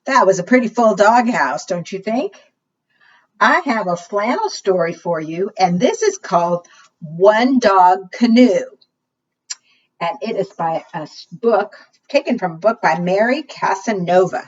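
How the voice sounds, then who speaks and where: Children's librarian, female, expressive read-aloud with questions to little listeners; unhurried, recorded at a distance with dull sound. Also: mid-range voice, slightly rough. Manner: asks the young listeners questions.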